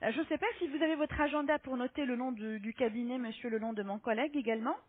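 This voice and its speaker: A woman making a phone sales pitch as an appointment-booking agent, polite but insistent, often ending on a checking question. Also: fast talker. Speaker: telemarketing agent booking health insurance appointments. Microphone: telephone. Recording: lo-fi muffled phone call.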